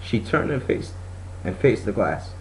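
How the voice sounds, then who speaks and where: A man's voice: fairly low pitch, animated, measured pace, a little mellow, a little hiss or hum, poor audio. A man reading a children's story aloud as a scripted narration. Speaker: storybook reader.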